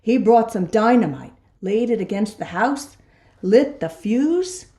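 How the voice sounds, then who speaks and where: A woman reading a children's storybook aloud in an expressive storytelling style. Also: fairly high pitch, unhurried. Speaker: storybook reader. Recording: echoey room.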